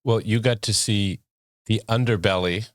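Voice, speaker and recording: A relaxed interviewer asking questions and affirming the guest's points in a conversational interview. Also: mid-range voice, moderate pace, dry recording, studio quality. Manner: easygoing, affirming, laughs along. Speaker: interviewer, host.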